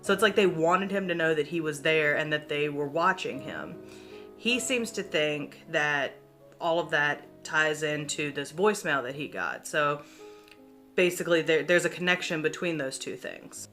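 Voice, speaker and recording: A young woman talks to her viewers like a chatty YouTube host. Her voice is slightly low for a woman, with a bright, forward edge. She keeps it informal, leaning hard on key words and swearing casually, over faint background music. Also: normal speed. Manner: informal, swears casually, emphatic word repetition. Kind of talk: casual chatty commentary to viewers on internet mysteries.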